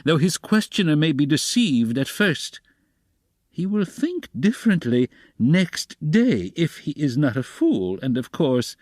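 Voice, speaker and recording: A man's voice: a little high, sing-song, a little slow, a little mellow, dry recording, clean studio sound, voice pushed up. A man voicing a wily middle-aged investigator in an audiobook, fussily solicitous and slyly mocking, with theatrical, coaxing exclamations.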